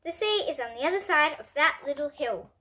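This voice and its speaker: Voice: high-pitched, animated, fairly quick, sharp tone, slight background noise. A child reader voicing a cheerful, imaginative little boy from a storybook, eager and confident. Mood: eager, playful.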